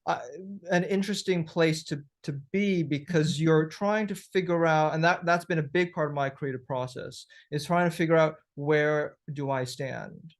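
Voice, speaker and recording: A man from Thailand, a musician guest, answering interview questions thoughtfully with frequent pauses and restarts. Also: fairly high pitch. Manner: thoughtful, hesitant, many restarts.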